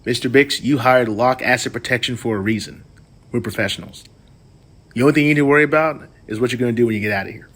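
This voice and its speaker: A man playing a calm, professional mercenary bodyguard in a sci-fi audio drama, speaking in a measured, matter-of-fact way. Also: medium pitch, natural voice. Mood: firm, professional.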